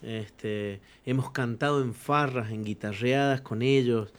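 A man's voice: medium pitch, steady tone, measured pace. Casting: argentine man, humble and hesitant, mid voice. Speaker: guest musician. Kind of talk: casual chat.

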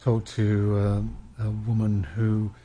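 A man hosting a conversational interview, his voice mellow and a little husky. He speaks hesitantly, repeating words and restarting his phrases as he thinks. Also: lowish voice, monotone, takes it slowly.

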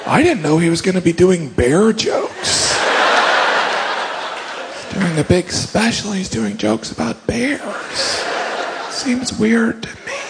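Deadpan stand-up man, lively pitch. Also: slow. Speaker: stand-up comedian. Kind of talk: stand-up set to a live crowd. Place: comedy club stage. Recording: loud crowd and noisy club. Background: crowd laughing.

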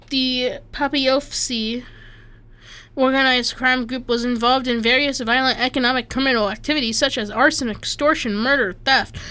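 Bright-voiced woman reading crime history. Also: high voice, clear, resonant tone. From Slovakia. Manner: stumbles over names, self-deprecating asides. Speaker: co-host reading an article. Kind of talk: casual chat, reading aloud.

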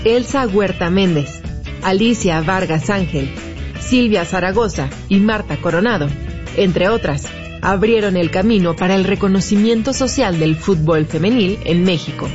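A woman reading a scripted documentary narration in a measured, informative way. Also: mid-range voice, highs cut off, over music.

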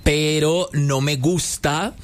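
A man's voice: fairly high pitch, fairly even intonation, takes it slowly. A man from Colombia chatting on a radio show in a laid-back, slangy way, laughing easily. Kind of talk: casual radio chat.

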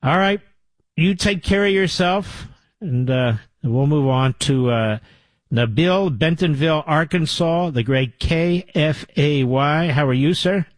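A man's voice: fairly high pitch, dry recording. A man hosting a talk radio show and taking calls, emphatic and opinionated. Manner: emphatic, opinionated, rapid-fire.

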